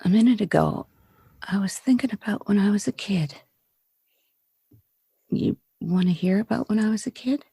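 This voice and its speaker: A woman playing a jaded, frightened film star from the slums in a 1940s-style radio drama, breathy, halting and slangy, brooding with bitter wistfulness. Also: mid-range voice, animated, dark tone, no echo, heavily acted. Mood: weary, wistful. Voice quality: husky, breathy.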